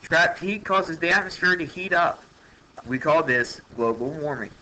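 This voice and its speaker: Male educational narrator, very sharp bright tone, plain classroom reading, noisy home-made recording. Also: on the high side, animated, normal speed. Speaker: teacher reading a textbook aloud. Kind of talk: educational read-aloud narration.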